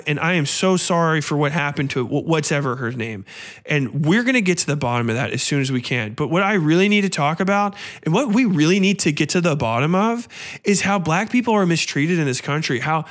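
A man's voice: fairly high pitch, animated, moderate pace, fairly bright tone. A man playing a smug, self-serving Hollywood actor giving a rambling, stammering, insincere damage-control statement in a mock press conference. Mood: insincere apology.

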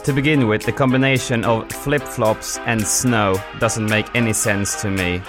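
A man's voice: medium pitch, fairly even intonation, normal speed, music in the background. A man hosting a podcast, introducing the show and interviewing a guest. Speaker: podcast host.

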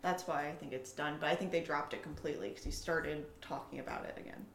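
A woman's voice: deep voice, fairly even intonation, fast, slight background noise. A young adult woman chatting casually and telling stories in an enthusiastic, emphatic way. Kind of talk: casual chat. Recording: echoey room.

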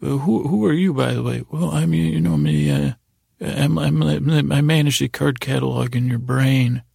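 A pesky little voice inside a sleeper's head , played by a man, chatters eagerly and won't let go. It is creaky and pitched a bit up, stumbling over words as it insists, in a bedtime storytelling style. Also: moderate pace, warm tone, no echo, clean studio sound, exaggerated voice.

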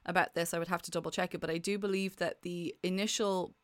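Smooth, dry-voiced Irish woman. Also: on the low side, little pitch movement, quick pace, dry recording. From Ireland. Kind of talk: casual chat.

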